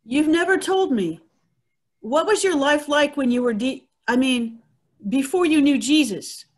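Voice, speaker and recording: A woman's voice: sits high, lively intonation, a little slow. A woman playing a devout, wondering mother in an amateur dramatic reading, telling her story earnestly. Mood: curious. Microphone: video call.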